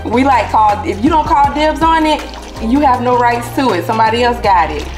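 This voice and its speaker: A woman's voice: on the high side, animated, brisk. A woman narrating a home cooking video in a chatty, casual way, often addressing viewers as y'all. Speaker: cooking vlog host. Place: home kitchen. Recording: music under the voice. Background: background music.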